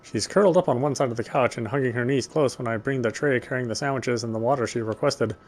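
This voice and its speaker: A man reading a visual novel's narration aloud in a measured, literary way, with small stumbles over the words. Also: mid-range voice. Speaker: let's player reading game text.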